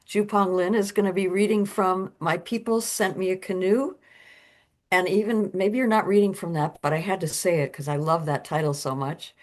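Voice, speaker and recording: A woman's voice: lowish voice, steady tone, moderate pace. A woman hosting an online reading, introducing the next reader in a chatty way with fillers and asides. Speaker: event host. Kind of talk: casual introduction.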